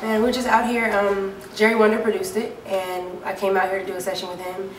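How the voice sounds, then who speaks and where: A woman singer giving a casual interview, talking in a deep, low speaking voice with many easygoing fillers. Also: brisk. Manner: relaxed and chatty, many fillers like "um" and "you know". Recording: echoey room, mic far off, clear background noise.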